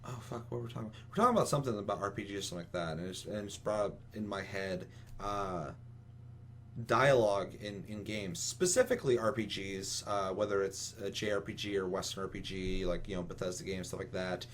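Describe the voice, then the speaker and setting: Low-pitched, profane, opinionated man ranting. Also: fast, a bit bright, a little hiss or hum. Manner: profane, emphatic, rambling. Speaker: podcast co-host. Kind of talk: casual gaming chat, opinionated ranting.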